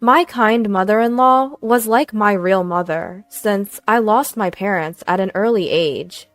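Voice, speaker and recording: A woman narrates a scripted personal drama at an unhurried pace. Her voice sits in a very dry recording with quiet music underneath. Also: medium pitch, no room sound. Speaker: story narrator.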